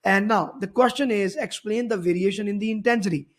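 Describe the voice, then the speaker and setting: A man's voice: high voice, brisk. A man teaching physics, explaining a worked problem step by step and often repeating and rephrasing his points.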